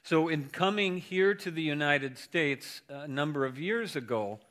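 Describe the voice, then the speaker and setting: A pastor delivers a sermon in a measured, earnest way. His voice is bright and forceful and sits slightly high, and he speaks slowly, leaning on each phrase. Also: expressive. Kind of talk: sermon and interview.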